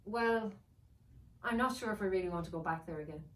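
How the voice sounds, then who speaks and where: A woman's voice: medium pitch, a little fast, close to an everyday voice. A woman reading the part of a hesitant, doubtful young boy in a children's story. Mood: reluctant. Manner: unsure, reluctant. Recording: roomy, distant mic, faint noise.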